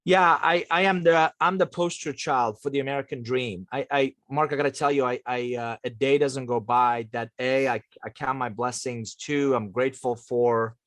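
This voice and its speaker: A man's voice: mid-range voice, steady tone, moderate pace, few pauses, a bit bright, no echo. A man in his fifties from Iran, a native Persian speaker, talking conversationally and emphatically as an interview guest who coaches leaders. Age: 50s.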